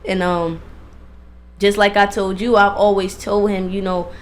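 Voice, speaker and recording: A woman's voice: medium pitch, flat delivery, a little slow. Woman, radio interviewer, slangy casual talk, emphatic repetition, mid-range voice, background noise. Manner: informal, emphatic, repeats phrases for emphasis.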